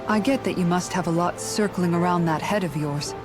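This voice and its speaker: An actress playing a kind, steady warrior woman comforting friends in a video game cutscene. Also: on the low side, a little fast, lightly acted. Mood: reassuring. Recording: music behind the voice.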